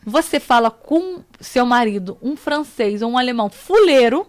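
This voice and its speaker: Brazilian woman host, slightly high voice, talkative, blunt opinions. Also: normal speed. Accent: Brazilian Portuguese. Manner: chatty, outspoken, animated asides. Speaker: podcast host. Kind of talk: casual podcast chat.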